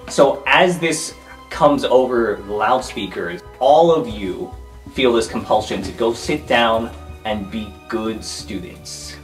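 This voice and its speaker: A man's voice: fairly low pitch, takes it slowly. A man narrating a tabletop roleplay podcast as its game master. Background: background music.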